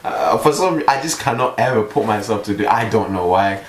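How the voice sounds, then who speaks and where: A young man vlogging in a casual, rambling way, with a mellow voice and a joking, self-mocking manner. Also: fairly low pitch, expressive, fairly quick. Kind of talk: casual vlog monologue. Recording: echoey room with faint hiss.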